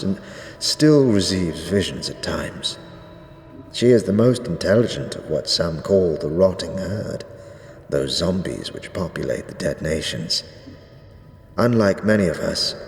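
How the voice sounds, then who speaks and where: A man playing an ancient, zealous skeleton high priest in a fantasy audio drama, speaking gravely in archaic, ceremonious phrases. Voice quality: deep, drawn-out delivery. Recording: echoey reverberant hall, faint noise.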